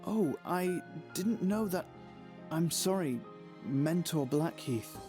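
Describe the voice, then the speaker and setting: Confused weak young wizard, polite, dragging pace, voice goes up n down, dark fantasy game. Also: male voice, fairly high pitch, animated, takes it slowly. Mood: meek, weary. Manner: hesitant, polite, drained. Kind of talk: dark fantasy visual novel acting. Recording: music behind the voice.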